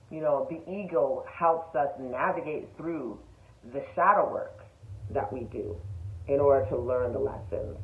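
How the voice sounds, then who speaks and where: A woman vlogger speaks in an emphatic, conversational way, leaning on pointed questions. The recording is clearly roomy and echoey, with a thin, phone-like tone. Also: low voice. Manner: emphatic, animated, rhetorical questions, frequent chuckles. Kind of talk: casual spiritual self-development talk to camera.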